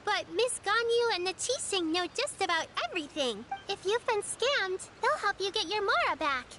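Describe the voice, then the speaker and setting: A woman's voice: very high-pitched, very animated, normal speed, pushed and bright, soft background music, full character voice. A woman voicing a polite, helpful, precocious little girl in a video game, speaking earnestly. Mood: helpful, cheerful.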